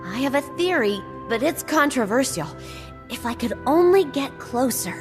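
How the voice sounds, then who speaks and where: Wry scholarly explorer in cavernous reverb. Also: female voice, sits high, animated, moderate pace. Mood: keen, scholarly. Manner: bookish, wry, explanatory. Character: wry, nerdy female explorer-scholar, quick with dark jokes. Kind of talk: scripted video game dialogue. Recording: long hall reverb, game music behind. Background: game music.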